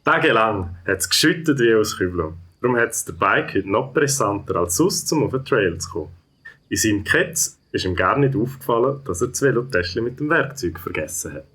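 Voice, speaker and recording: A male narrator of a children's audio story. His voice is on the low side and husky, with very lively intonation and a Swiss German accent. He tells the tale expressively. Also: moderate pace.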